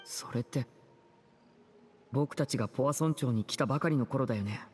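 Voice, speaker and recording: A man's voice: medium pitch, darkish tone, a little hiss or hum, close to an everyday voice. Composed, probing young man, acted by a man. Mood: serious. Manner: measured, serious, questioning. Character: composed, probing teenage older brother, a young magician. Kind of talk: Japanese anime-style game voice acting. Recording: studio voice over game music. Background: background music.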